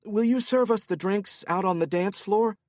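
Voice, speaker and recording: A man's voice: sits high, moderate pace, a little mellow, no room sound, clean studio sound, pitched above the performer's own voice, lightly acted. Kincaid, man voicing a sly young American woman. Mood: coaxing. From Kentucky, USA. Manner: smooth, coaxing.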